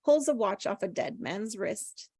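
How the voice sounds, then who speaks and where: A woman's voice: medium pitch, animated, measured pace, mellow, breathy edge, no echo, clean studio sound. A woman reading stage directions as scripted narration at a table read.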